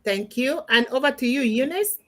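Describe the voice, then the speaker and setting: A woman's voice: high-pitched, lively intonation, moderate pace. A woman hosting an online panel livestream, giving announcements and handing over to guests. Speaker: host. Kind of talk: moderating a livestream. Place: remote online livestream call.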